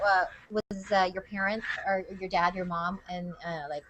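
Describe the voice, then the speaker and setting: A woman's voice: fairly low pitch, flat delivery, normal speed, background noise. A woman hosting a live video-call interview, bubbly and a bit hesitant, with frequent ums. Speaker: host.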